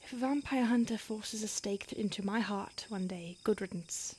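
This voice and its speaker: A woman voicing a starved, conflicted female vampire who stalks and craves her listener, speaking in a soft intimate whisper. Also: medium pitch, normal speed, slight background noise, close to an everyday voice. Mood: grim, confiding. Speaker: audio roleplay performer. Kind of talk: whispered audio roleplay.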